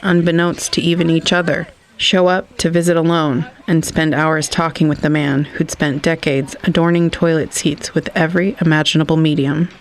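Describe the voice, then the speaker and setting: A man reading literary scripted narration for an audio story in a measured storytelling manner. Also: on the high side, flat delivery, rough recording.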